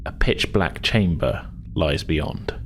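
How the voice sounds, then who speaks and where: A man's voice: a bass voice, lively intonation, takes it slowly, a little hiss or hum. A man narrating a tabletop game as game master, improvising in loose, hesitant, informal phrases.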